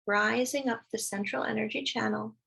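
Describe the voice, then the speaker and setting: A woman leading a guided meditation, speaking slowly and soothingly as she gives gentle instructions. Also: a little high, steady tone, gravelly, slightly rough.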